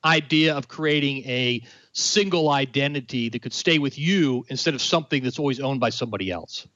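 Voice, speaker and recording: A man's voice: mid-range voice, a little fast, a bit bright, no room sound. A man explaining a technical idea in conversation, earnest, with many fillers and restarts. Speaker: guest expert. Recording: dry, dull lo-fi sound.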